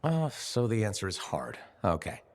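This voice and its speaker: An actor playing a laid-back armored freelancer with dry humor, in a game cutscene. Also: male voice, on the low side, very animated, moderate pace. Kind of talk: video game cutscene acting.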